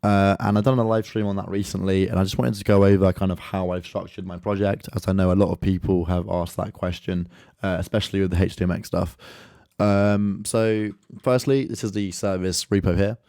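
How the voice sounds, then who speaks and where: A man with a croaky voice from a sore throat, casually walking through his code in a screen-share, loose and conversational with lots of filler words. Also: deep voice, fairly even intonation, a little fast, mellow, no echo, studio quality.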